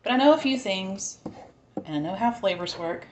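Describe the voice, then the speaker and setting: A woman's voice: mid-range voice, lively intonation, moderate pace, reverberant, a little hiss or hum. A woman with a Southern American accent, chatting casually and thinking aloud as she cooks in her home kitchen. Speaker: home cook. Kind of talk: casual cooking vlog chat.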